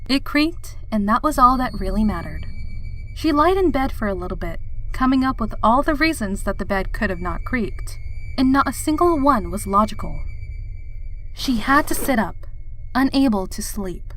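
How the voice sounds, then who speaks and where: A woman narrates dark fan fiction in a voice of medium pitch. The delivery is scripted, dramatic storytelling, with music playing behind her. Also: low-quality recording. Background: background music.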